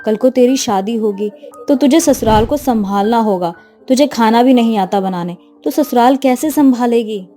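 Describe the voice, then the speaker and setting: Woman playing a high-voiced, admonishing middle-aged mother. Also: normal speed, dark tone, faint music behind, close to an everyday voice. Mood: scolding, worried. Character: middle-aged mother and mother-in-law, instructive, gently scolding. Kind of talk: Hindi moral story cartoon dialogue.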